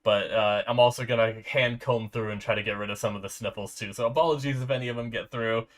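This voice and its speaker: A man's voice: mid-range voice, fairly even intonation, fast talker. Congested sick man hosting a reaction video. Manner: rambling, frequent filler restarts. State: sore throat. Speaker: anime reaction host. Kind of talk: casual reaction commentary. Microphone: desk mic with noise filter.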